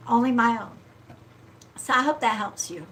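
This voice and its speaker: A woman with a stuffy nose giving a live card reading, speaking in a slow, soothing, reassuring way and often checking in with "okay?" and "all right?". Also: on the high side, a bit bright, a little hiss or hum, low-quality recording. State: stuffy-nosed. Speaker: psychic card reader.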